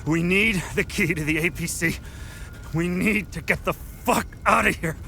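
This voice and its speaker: An actor playing a dazed, wounded soldier in a video game, speaking urgently and haltingly through pain. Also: male voice, high-pitched, gravelly, pushed and bright, a little hiss or hum, full character voice. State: hurt, in pain.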